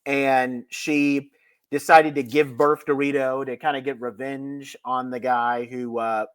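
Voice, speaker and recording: A man's voice: medium pitch, flat delivery, unhurried, clean tone. A man co-hosting a podcast, recapping a story in casual conversation. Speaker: podcast co-host recapping an episode. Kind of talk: casual chat, plot recap.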